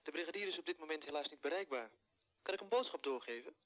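Police operator, dull phone line. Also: male voice, fairly high pitch, lively intonation, quick pace. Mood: polite, official. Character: polite, businesslike male police telephone operator. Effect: telephone filter. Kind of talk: radio drama acting. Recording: muffled, band-limited telephone line.

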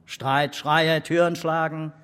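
An actor playing a sardonic police detective in a radio crime drama, clipped and dry, with a husky voice. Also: male voice, on the high side, level tone, slow, a bit bright, in a large hall. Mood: needling, brisk.

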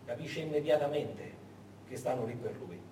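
A man narrating a scripted theatrical story in a dramatic, emphatic way, with suspenseful pauses. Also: mid-range voice, lively intonation. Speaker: stage narrator. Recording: echoey hall, distant mic, noisy.

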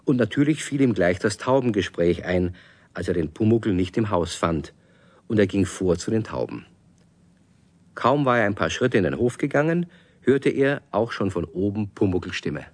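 A man's voice: on the low side, animated, moderate pace, no room sound. A man narrating a children's radio play, speaking expressively and directly to young listeners as a friendly storyteller. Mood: storytelling.

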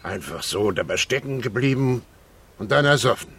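Gruff steelworker for radio drama: gravelly, widely swinging delivery, terse and grim. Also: male voice, mid-range voice, expressive, moderate pace, fairly bright tone, heavily acted. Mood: grimly dismissive. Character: gruff adult male steelworker, dismissive and grim. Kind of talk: radio play acting.

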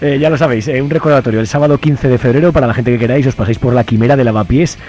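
A man's voice: mid-range voice, very fast, darkish tone. A man with an accent from Spain hosting a radio show, chatting informally and encouragingly through interviews and announcements. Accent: Spanish, from Spain. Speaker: radio host. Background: faint music.

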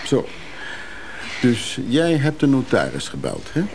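An actor playing a grumbling, reluctant lover in a Dutch radio play, with a blunt, grudging way of talking. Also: male voice, mid-range voice, wildly swooping pitch, unhurried, darkish tone, a little hiss or hum, rough recording. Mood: brisk, affable. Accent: Netherlands Dutch. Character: grumbling, reluctant male lover, Freek Keverling.

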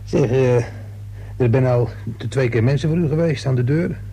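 Radio play caretaker: mellow gravelly voice, tired, colloquial, gossipy grumbler; noisy, humming old recording. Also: male voice, medium pitch, normal speed. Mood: gossipy, sly. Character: weary, grumbling, gossipy male apartment caretaker. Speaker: actor in a radio serial. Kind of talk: Dutch radio thriller acting.

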